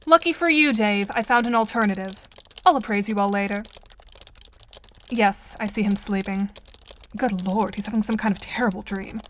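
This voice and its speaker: A woman's voice: medium pitch, moderate pace, fairly bright tone, slight background noise, natural voice. A woman playing a composed teenage girl with a dry, formal, sardonic manner in an audio drama.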